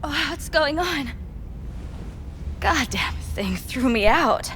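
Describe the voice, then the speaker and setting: A woman's voice: sits high, animated, takes it slowly, fairly bright tone, big-room sound, a little hiss or hum, heavily acted. A woman playing a wary, indignant club worker in a video game, curt and evasive.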